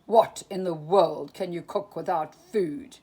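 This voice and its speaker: A woman voicing a stingy, grumpy old male duck uncle, blustering and loud. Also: lowish voice, takes it slowly, husky, heavily acted.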